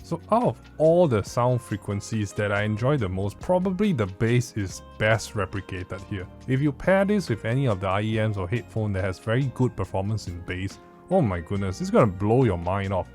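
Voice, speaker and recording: A man's voice: on the low side, very animated, moderate pace, darkish tone, soft background music. A man giving a casual spoken product review in an enthusiastic, emphatic way, often repeating words for stress. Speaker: tech reviewer, host.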